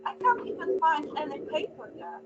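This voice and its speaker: A woman's voice: high-pitched, sing-song, moderate pace. A woman from Poland with a Polish accent, speaking earnestly and somewhat hesitantly in an informal panel talk. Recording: dull lo-fi sound, humming noise.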